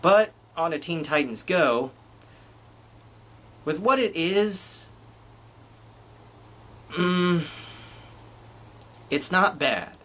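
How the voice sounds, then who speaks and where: A man's voice: on the high side, animated, a bit bright. A young man in a small bedroom giving a casual TV review vlog to a webcam, with loose restarts. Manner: unhurried, loose delivery with restarts. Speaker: video reviewer.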